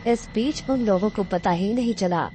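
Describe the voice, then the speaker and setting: A woman's voice: medium pitch, moderate pace, warm tone, faint music behind. A woman reading scripted narration for a horror cartoon story.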